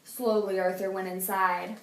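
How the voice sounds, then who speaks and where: Woman teacher, storybook read-aloud, expressive, roomy distant sound. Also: mid-range voice, measured pace. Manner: expressive storytelling, hushes for spooky parts. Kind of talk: children's book read-aloud.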